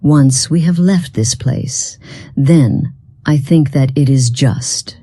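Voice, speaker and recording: A woman playing a smug, self-assured, mysterious video game companion who speaks in a measured, formal, condescending way. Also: very deep voice, warm tone, husky, no echo, soft background music, heavily acted. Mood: measured, serious. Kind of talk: scripted video game character dialogue.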